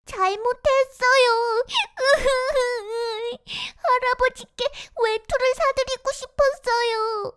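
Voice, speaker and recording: Woman voicing a very high-pitched little puppet boy. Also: steady tone, very slow, hardly any pauses, a bit bright, full character voice. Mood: tearful, sorry. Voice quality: childlike. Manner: exaggerated, emotional children's storybook acting. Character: naive wooden puppet boy, whiny, eager, easily sorry.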